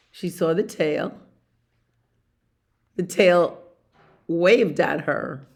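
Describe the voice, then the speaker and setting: Female storyteller, 60s, fairly low pitch, very unhurried, expressive read-aloud with conversational asides to young listeners. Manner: expressive, explains pictures, asks listeners questions. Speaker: library storyteller reading picture books to children. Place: library activity room, indoors.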